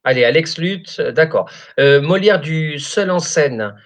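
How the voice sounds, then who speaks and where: A man's voice: a little high, animated, unhurried. A man hosting a radio show, chatting with a guest and stumbling through many fillers and restarts. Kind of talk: radio chat.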